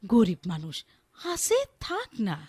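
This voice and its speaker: An actress playing a gentle, dutiful village daughter-in-law and mother in a Bengali radio drama, speaking earnestly with a rural Bangladeshi accent. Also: mid-range voice, huge pitch swings, slow pace, breathy edge, no echo, exaggerated voice. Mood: gentle, pleading.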